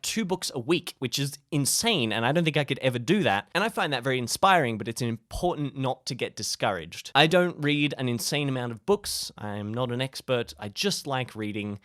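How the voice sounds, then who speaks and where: A man's voice: mid-range voice, a bit bright. Casual guy vlogger giving advice, jokey and self-deprecating, really dry room with no echo. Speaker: YouTube vlogger giving reading tips. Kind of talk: casual, chatty advice to camera.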